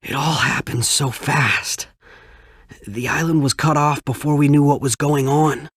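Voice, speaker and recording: A man's voice: a little high, steady tone, a little slow, fairly bright tone, slightly rough, no room sound, studio quality. A man playing a timid, shaken young doctor in a video game cutscene, hesitant and apologetic. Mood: bewildered. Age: young adult.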